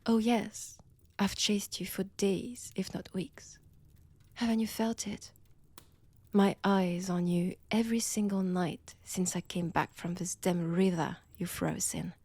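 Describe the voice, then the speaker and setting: Breathy French vampire seductress, acted by a woman, slow and menacing. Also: mid-range voice, fairly even intonation, warm tone, no echo. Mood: gloating, teasing. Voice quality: breathy, often dropping toward a whisper. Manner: slow, teasing, menacing, deliberate pauses. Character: seductive, predatory female vampire toying with her captive. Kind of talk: intimate audio roleplay, addressed to the listener.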